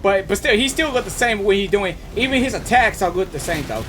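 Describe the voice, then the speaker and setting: Game reaction streamer, bright voice with big pitch swings, excitable, repetitive phrasing, casual. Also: a little fast, soft background music. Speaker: gaming streamer.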